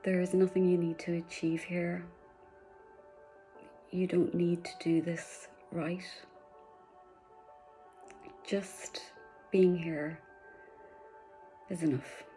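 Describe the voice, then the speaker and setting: A woman leading a guided meditation in a soft, soothing voice, slipping at times into breathy whispers. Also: on the low side, level tone, takes it slowly, long pauses, soft background music.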